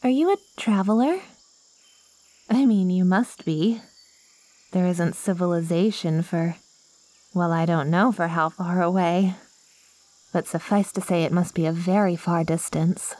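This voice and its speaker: A woman's voice: fairly low pitch, a little slow, pauses often, a little mellow, dry recording, close to an everyday voice. A woman playing an ancient, lonely plant girl in an ASMR roleplay, clingy and curious, with quirky, wordy, theatrical phrasing. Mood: curious, concerned. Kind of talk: ASMR roleplay, acted monologue to the listener.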